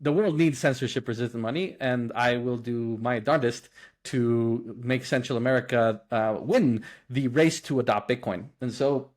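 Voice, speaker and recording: A middle-aged man from Guatemala speaks as an interview guest, earnest and explanatory. His voice sits at an ordinary middle pitch. He often restarts phrases and leans on fillers while he thinks. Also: moderate pace, darkish tone. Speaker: guest, company co-founder. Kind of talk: conversational interview.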